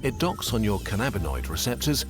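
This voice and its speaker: A man giving scripted explainer narration with a lively, clear delivery. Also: on the low side, a little fast. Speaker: educational video narrator. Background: background music.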